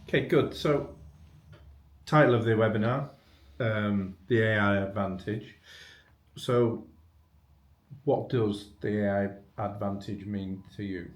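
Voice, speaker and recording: A man with a very low voice hosts a business webinar as an interviewer. He puts informal, probing questions conversationally, and the room around him sounds clearly echoey. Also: slow pace, pauses often. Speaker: webinar host asking questions.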